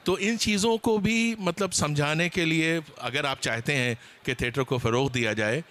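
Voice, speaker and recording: A man speaking as a panelist at a seminar, explaining his points in a deliberate, hesitant way. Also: fairly high pitch, fairly bright tone.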